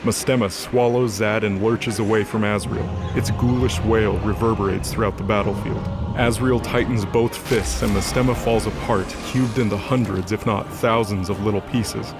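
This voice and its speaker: Low-pitched male narrator over music. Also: steady tone, moderate pace. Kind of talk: dramatic scripted narration.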